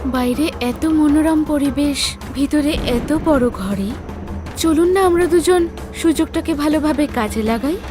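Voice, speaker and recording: A woman voicing a beautiful, sorrowful ghost mother in a horror cartoon, with an eerie, measured delivery. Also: high-pitched, music in the background. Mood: seductive.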